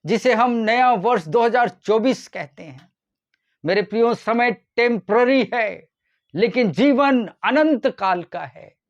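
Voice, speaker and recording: A man preaching a short devotional sermon as a pastor, addressing his listeners in a deliberate manner. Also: high voice, dull audio. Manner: deliberate, repeatedly addressing listeners as dear ones.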